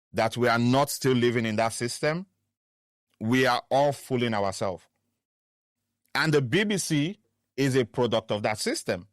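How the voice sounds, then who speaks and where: Man from Nigeria, podcast co-host, earnest casual talk, mid-pitched voice, dry close sound. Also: clean tone, no echo. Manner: earnest, argumentative, builds points slowly. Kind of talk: casual chat.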